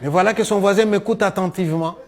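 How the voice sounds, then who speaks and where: A middle-aged man with a Congolese French accent tells comic stories in a one-man show, acting out dialogue in an animated way. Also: high-pitched, quick pace, some chatter behind. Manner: animated storyteller, acting out dialogue, punctuating with "hein". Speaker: stage storyteller and comedian.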